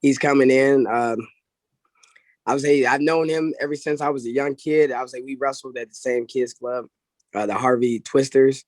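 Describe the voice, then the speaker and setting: A man's voice: mid-range voice, fairly even intonation, moderate pace, no echo. A young man from Illinois, a wrestling head coach, chatting easily as a guest on a video call interview. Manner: easygoing, repeats "I'll say" and "oh, yeah". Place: small indoor room. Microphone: video call webcam.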